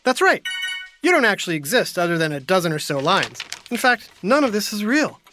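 A woman's voice: mid-range voice, very animated, a little fast, fairly bright tone, soft background music. A woman doing upbeat, peppy scripted narration and promo reads as an announcer.

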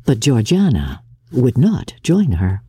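A woman's voice: very deep voice, huge pitch swings, slow pace, mellow, breathy edge, no room sound, clean studio sound. A woman narrator giving a dramatic reading of classic literature.

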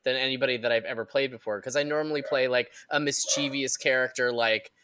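A man talking casually on a panel as a podcast actor, earnest and somewhat halting in how he phrases things. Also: medium pitch, steady tone, sharp tone. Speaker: actor and player on an audio drama podcast.

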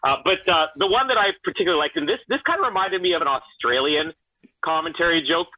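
A man's voice: on the high side, lively intonation, quick pace, no room sound, phone-quality sound. A man co-hosting a podcast, telling wry stories in casual chat. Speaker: podcast co-host.

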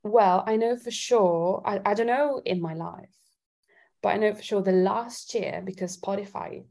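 A woman from Italy chatting casually as an interview guest, thinking out loud with hesitant phrasing. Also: mid-range voice, moderate pace, gravelly. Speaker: singer-songwriter guest.

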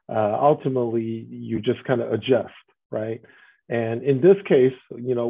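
A husky, slightly breathy man from Taiwan heard over a thin, phone-like line. He answers as a restaurant guest in an interview, explaining carefully and often adding a quick "right?" to his points. His pitch sits in the middle range for a man.

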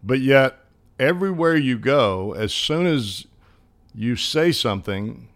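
A man's voice: medium pitch, lively intonation, slow. Middle-aged Southern man, country accent, homespun chuckling storyteller; mid-range voice. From Southern United States. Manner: folksy, chuckling, homespun sayings. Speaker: guest, former ballplayer. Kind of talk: casual interview storytelling.

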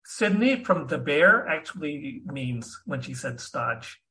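A man's voice: on the high side, big pitch swings, slow pace, pushed and bright, low-quality recording. A man hosting an interview, asking curious questions and often restarting his sentences. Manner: curious, self-deprecating questions with repeated restarts. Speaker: podcast host. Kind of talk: casual interview.

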